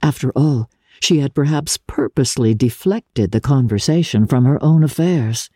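Female narrator, low husky voice, close dry sound; measured, theatrical reading of a literary classic. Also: mellow, dry recording. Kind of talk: dramatic reading of classic fiction.

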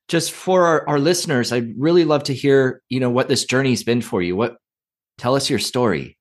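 A middle-aged man hosting a podcast, talking in a gentle, unhurried, friendly way. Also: mid-range voice. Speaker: podcast host. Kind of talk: interview hosting.

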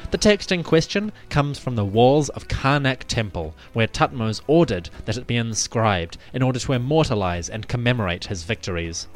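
A man hosting a history podcast, reading scripted narration in a measured way with theatrical emphasis. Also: medium pitch, lively intonation, faint music behind.

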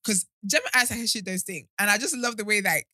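Young woman, podcast co-host, brisk blunt gossip delivery, bright tone, dry close mic. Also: mid-range voice, expressive, no echo. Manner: fast, blunt and opinionated, acts out quotes. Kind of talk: casual gossip chat.

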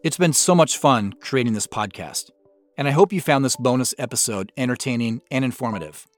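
A man's voice: mid-range voice, brisk, faint music behind. A man giving informal behind-the-scenes commentary as the creator of an audio drama.

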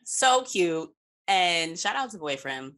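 Bright, low-pitched woman chatting casually. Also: big pitch swings, slow. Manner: informal, emphatic, swears freely. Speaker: podcast host. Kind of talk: casual chat.

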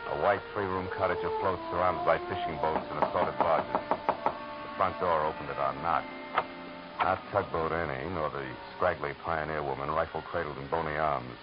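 An actor playing a hard-boiled private eye with dry, sardonic wisecracks, narrating and talking in 1940s radio acting. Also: male voice, a bass voice, fairly even intonation, normal speed, loud music behind the voice. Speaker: narrator and detective. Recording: hissy dull old radio broadcast.

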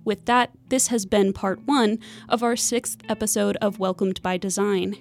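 A woman's voice: medium pitch, a little mellow, slight background noise. A young woman from the United States hosting and producing a university podcast, reading credits and chatting with a co-host. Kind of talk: casual chat and scripted outro.

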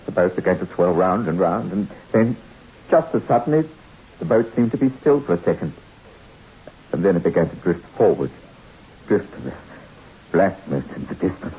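Haunted castaway, dramatically swinging pitch. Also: male voice, very animated, dark tone, husky, full character voice. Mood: haunted recollection. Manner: halting, dramatic, swinging from brooding to pleading. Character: distraught, haunted male castaway in shock. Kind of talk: 1960s radio horror acting. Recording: muffled, noisy old radio recording.

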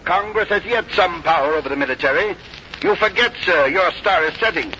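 Sharp-voiced, pompous politician. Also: male voice, high voice, expressive, brisk. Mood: haughty. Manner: pompous, formal. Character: haughty, evasive congressional politician, self-serving and practical. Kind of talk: 1950s radio drama acting. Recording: muffled, noisy 1950s radio recording.

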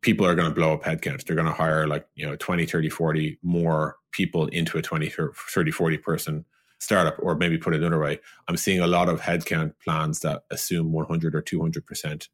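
A man's voice: rumbling low, little pitch movement, a little fast. A man in an informal business discussion, explaining things conversationally as a tech executive and co-host, repeating words for emphasis.

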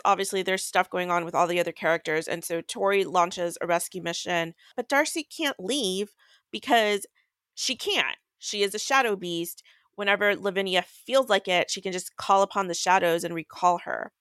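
A woman's voice: medium pitch, normal speed, fairly bright tone, no room sound, studio quality. A woman co-hosting a podcast, giving a casual book recap in a blunt, exasperated way and swearing freely. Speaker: podcast co-host.

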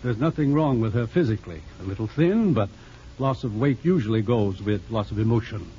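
An actor playing a kindly, tactful and earnest doctor in a 1950s radio drama. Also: male voice, on the low side, lively intonation, brisk, mellow, close to an everyday voice. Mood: earnest, reassuring. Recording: dull, hissy old radio recording.

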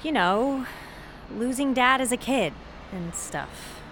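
An actress playing a sheltered young college student in an audio drama, wry, guarded and self-deprecating, in a naturalistic style. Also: female voice, on the high side, expressive, takes it slowly, a bit bright, a little hiss or hum, heavily acted. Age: young adult.

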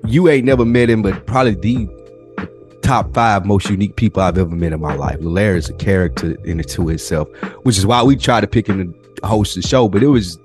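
A man hosting a podcast, telling stories in casual, animated talk full of slang and swearing. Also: on the low side, a little fast, faint music behind. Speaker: podcast host.

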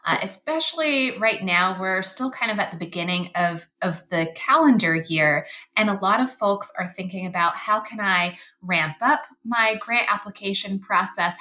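A woman speaking as a podcast guest, explaining fluently. Her voice is bright and forward, pitched in an ordinary middle range. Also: lively intonation, moderate pace. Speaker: guest, grant consultant. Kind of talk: podcast interview.

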